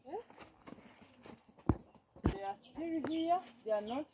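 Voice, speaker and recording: Slow, high-voiced woman giving a garden tour. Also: expressive, heavy background noise. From Africa. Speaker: vlogger, stay-at-home mother. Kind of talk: casual garden tour narration. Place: outdoors in a lush garden plot.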